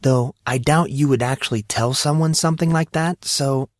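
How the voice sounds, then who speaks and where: A man voicing a calm, assertive teenage girl with a collected but demanding manner, in an audiobook reading. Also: medium pitch, normal speed, no echo, studio quality, pitched above the performer's own voice, close to an everyday voice. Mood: collected, insistent.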